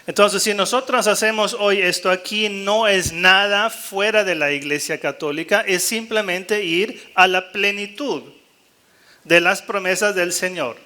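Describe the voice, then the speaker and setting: High-pitched, bright-voiced male priest preaching. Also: a little slow. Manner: jokey and animated, mimicking doubtful priests, rallying listeners. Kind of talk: live sermon-style talk to a gathered congregation.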